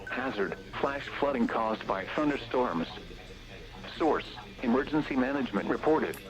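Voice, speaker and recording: A synthetic male text-to-speech voice reading scripted emergency weather warnings in a measured, impersonal, item-by-item way over weather radio. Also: mid-range voice, lively intonation, muffled recording. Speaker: automated weather radio alert reader.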